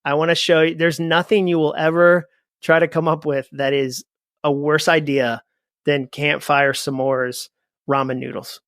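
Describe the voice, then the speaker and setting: A man in his forties from New England talks in a loose, rambling way, full of "um" and "like" as he jumps from story to story. His voice sits a little high for a man and has a gravelly rasp. The studio sound is close and dry. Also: unhurried, no room sound. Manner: rambling, animated storytelling with many fillers. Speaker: podcast guest. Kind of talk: casual conversation.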